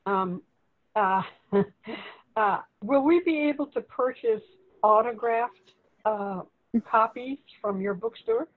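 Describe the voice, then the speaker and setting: A woman's voice: fairly high pitch, takes it slowly, pauses often. A woman in casual chat as an audience member asking a question over a tinny call connection. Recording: tinny, phone-like call audio.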